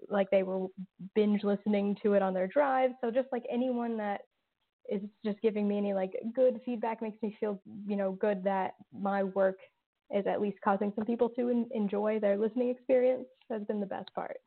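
A woman talking as an interview guest, circling her answers with plenty of fillers and restarts. Her voice sits at an ordinary middle pitch. It comes through a narrow, tinny phone-like line. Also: steady tone, normal speed, vocal fry. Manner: rambling, many fillers and restarts. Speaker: podcast creator and guest.

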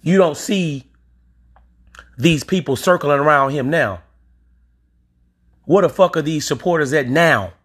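A man's voice: fairly high pitch, animated, a little slow, pauses often, a bit bright, breathy edge. A man in his forties hosting a solo podcast, talking in an emphatic, opinionated way with occasional swearing. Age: 40s. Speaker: podcast host.

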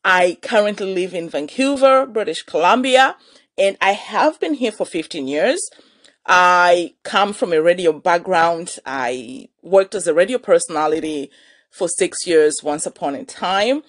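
A woman, hosting a casual solo podcast as a chatty, animated storyteller. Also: fairly low pitch, unhurried. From Malawi. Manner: chatty, animated storyteller who laughs often. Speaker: podcast host.

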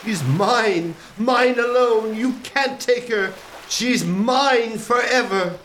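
Man acting a high-pitched, theatrical ghostly sea captain. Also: takes it slowly, fairly bright tone, a little hiss or hum, full character voice. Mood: possessive fury. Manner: theatrical, declamatory. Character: ghostly cursed sea captain, tormented, possessive villain. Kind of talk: gothic comedy audio drama acting.

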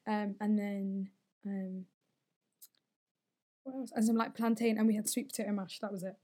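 A young woman chatting casually, giggling and animated, tired from not sleeping. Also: medium pitch, moderate pace. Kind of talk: casual chat.

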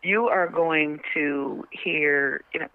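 A woman speaking as a radio interview guest, emphatic and a little halting, often restarting her phrases. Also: low voice. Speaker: stage play cast member and spokesperson. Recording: dull band-limited radio call sound.

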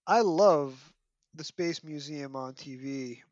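A man's voice: fairly high pitch, takes it slowly, darkish tone, dry recording, lo-fi sound. A man hosting a casual fan discussion, speaking in an emphatic, opinionated way. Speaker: podcast host.

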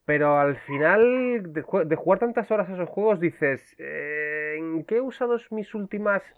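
A man's voice: high voice, expressive, normal speed. A man from the Canary Islands with a hybrid Canarian and peninsular Spanish accent, chatting casually and colloquially as a podcast guest through a thin, phone-like connection. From Canary Islands, Spain. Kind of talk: casual chat, colloquial slang. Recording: thin phone-like band-limited sound.

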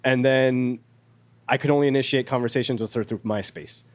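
A man's voice: medium pitch, steady tone, brisk. A young man with an ordinary mid-range voice shares his own experiences in a podcast conversation. He is candid and self-reflective, and he talks things through openly. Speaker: podcast guest. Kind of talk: personal reflection in casual chat.

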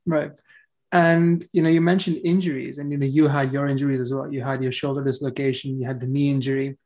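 A man's voice: medium pitch, flat delivery, fairly quick, a little mellow. A man hosting a podcast, asking long, thoughtful interview questions. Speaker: podcast host.

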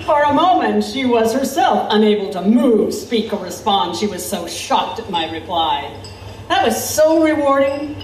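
A woman's voice: a little high, big pitch swings, measured pace, a little mellow, slight background noise. A woman playing an intrepid reporter who recounts her adventure in wry, vivid audio drama narration. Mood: wry, satisfied. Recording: roomy, slightly distant over music.

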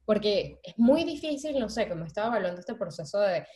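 A woman from Venezuela hosting a casual interview, asking questions and telling stories in an animated, friendly way. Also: mid-range voice, a little fast. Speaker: interview host.

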